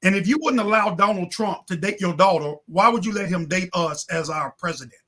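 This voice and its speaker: A man's voice: high voice, fairly quick, pushed and bright. A man speaking as a talk show guest in an impassioned political discussion, emphatic and rhetorical, repeating phrases for effect.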